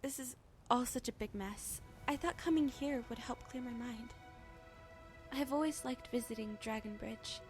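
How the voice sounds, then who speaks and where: An actress playing a troubled young woman in a game, speaking softly and earnestly about her past. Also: fairly high pitch, normal speed, natural voice. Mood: wistful. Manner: wistful, soft-spoken, earnest. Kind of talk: game cutscene voice acting. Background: music.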